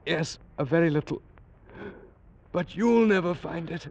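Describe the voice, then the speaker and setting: An actor playing a bitter, jealous ancient Egyptian killer, speaking in a theatrical, taunting old-time radio style. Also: male voice, a little high, a little slow, gravelly, a little mellow. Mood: grim triumph. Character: bitter, jealous Egyptian man, vengeful murderer and alchemist. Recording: dull old radio recording.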